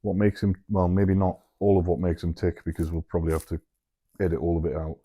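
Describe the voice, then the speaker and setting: Sweary man, low mellow voice. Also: flat delivery, fast talker. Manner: sweary, jokey banter. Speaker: podcast co-host. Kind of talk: casual podcast chat.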